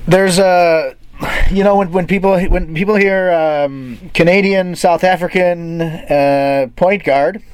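A man's voice: high voice, takes it slowly, clear, resonant tone, no room sound. A man interviewing a basketball player, asking probing questions and often restarting mid-sentence.

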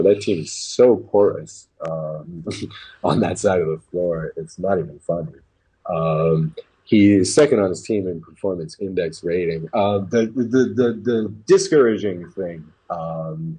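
American male basketball pundit, low register, very rough-edged husky voice, opinionated, conversational. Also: dark tone, poor audio. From New England, United States. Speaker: basketball commentator. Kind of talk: casual sports talk.

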